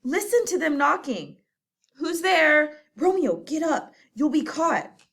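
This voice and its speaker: A woman's voice: medium pitch, animated, unhurried, fairly bright tone, slight background noise. A woman voicing a stern, fatherly older male friar who scolds and advises in a scripted audio drama. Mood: urgent. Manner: scolding, urgent, lecturing. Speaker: voice actor.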